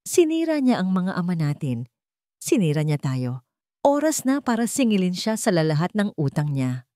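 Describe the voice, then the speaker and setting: A woman's voice: lowish voice, sing-song, normal speed, mellow, no room sound, studio quality. A woman playing a weary, wounded but determined young billionaire in a romantic drama story. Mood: grim determination.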